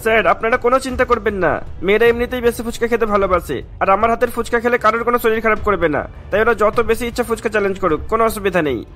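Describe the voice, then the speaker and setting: A man's voice: high-pitched, rapid-fire, a bit bright, soft background music. A man voicing an eager, ingratiating male street snack seller in a cartoon, talking like a salesman. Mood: eager, reassuring. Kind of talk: cartoon voice acting.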